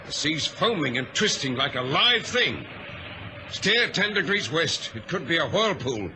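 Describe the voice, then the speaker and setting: Lively-pitched commanding ship's captain, noisy radio. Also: male voice, unhurried. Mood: alert. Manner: authoritative, clipped orders. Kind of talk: old radio drama acting. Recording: noisy vintage radio recording.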